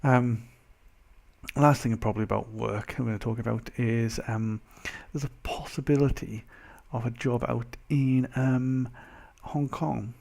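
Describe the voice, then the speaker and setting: A young man with a mild Welsh accent, chatting casually as a vlogger in a rambling, animated, self-interrupting way. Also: mid-range voice, unhurried, gravelly. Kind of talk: casual chat to camera.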